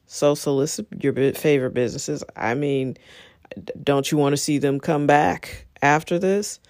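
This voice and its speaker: A woman's voice: low voice, darkish tone, no room sound, clean studio sound. A middle-aged woman with a slightly scratchy voice hosting a casual solo talk, encouraging and conversational, wandering into asides. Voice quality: a little scratchy. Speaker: show host.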